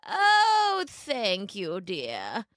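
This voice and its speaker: A woman plays a hungry male wolf disguised as a frail grandmother in a storybook. He speaks slowly and with false sweetness. The pitch leaps high and dips low, and the recording is dry. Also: huge pitch swings, fairly bright tone, no echo, exaggerated voice. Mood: sickly sweet. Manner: slow, put-on quavering sweetness.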